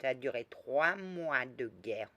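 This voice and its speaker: A woman telling a rambling, lively story in a casual spoken way, full of restarts and asides. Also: very deep voice, unhurried, dry recording. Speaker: storyteller recounting past lives.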